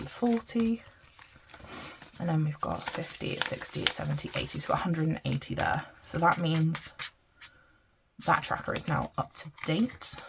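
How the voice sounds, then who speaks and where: A woman's voice: sits low, gravelly, very noisy. A British woman with a blocked-up nose from hay fever, chatting casually and counting money aloud in her budgeting vlog. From Britain. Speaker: budgeting vlogger. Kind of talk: casual chat while counting cash aloud.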